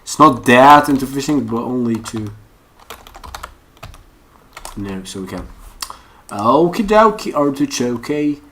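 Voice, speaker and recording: Man, slow halting delivery, casual tutorial instructor, thinking aloud, noisy recording with keyboard clicks. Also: mid-range voice, pushed and bright. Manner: rambling, halting, thinking aloud while searching. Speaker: online course instructor. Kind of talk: casual unscripted screen-share tutorial. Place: at a computer. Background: typing and mouse clicks.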